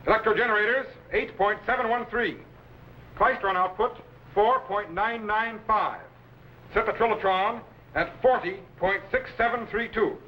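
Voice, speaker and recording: An actor playing a commanding, scholarly time-machine captain in a crisp, authoritative 1950s science fiction serial style. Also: male voice, high-pitched, moderate pace, slight background noise, lightly acted. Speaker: captain and mentor. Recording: dull vintage broadcast with loud music.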